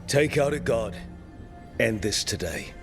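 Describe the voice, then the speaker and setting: Resolute hero, music behind. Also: male voice, medium pitch, expressive, a little slow, gravelly. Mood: determined. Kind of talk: naturalistic video game acting.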